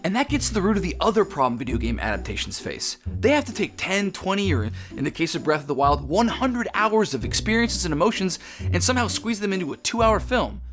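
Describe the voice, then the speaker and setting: A man's voice: high-pitched, sing-song, fairly quick, fairly bright tone, soft background music. An actor playing a jaded, argumentative video game fan, a young man speaking emphatically in a comedy sketch. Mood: explaining. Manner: opinionated, emphatic. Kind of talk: scripted comedic debate.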